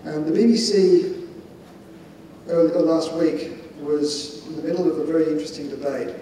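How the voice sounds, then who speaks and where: A man giving a considered, discursive panel answer in a measured, explanatory and opinionated way. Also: on the high side, fairly even intonation, dark tone. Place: large indoor conference hall. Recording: echoey hall, distant mic, faint noise.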